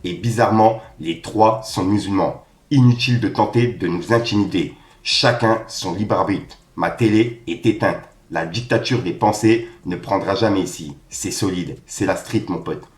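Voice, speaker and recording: A man's voice: lowish voice, moderate pace, roomy sound. A man hosting a casual rap news roundup, reading posts aloud in street slang.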